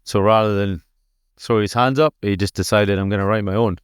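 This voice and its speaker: A man's voice: lowish voice, fairly even intonation, fairly quick, clear, resonant tone, no room sound, studio quality. A man with a Scottish accent, a podcast guest explaining history in a conversational way with many fillers. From Scotland. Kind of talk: conversational storytelling. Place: conference exhibition booth.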